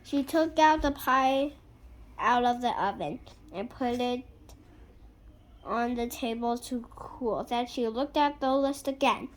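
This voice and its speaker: A young girl reading a picture book aloud in an animated, halting way, stopping to react and ask questions. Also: fairly high pitch. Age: young child. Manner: halting over harder words, interjecting reactions and questions to listeners. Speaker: child reader.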